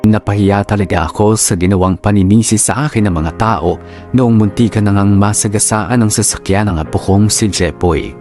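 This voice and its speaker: Man doing a low deep old lolo narrating his sad story, resolute, music behind. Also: normal speed, a little mellow, close to an everyday voice. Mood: hurt. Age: older. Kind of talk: scripted dramatic monologue. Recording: music bed under narration.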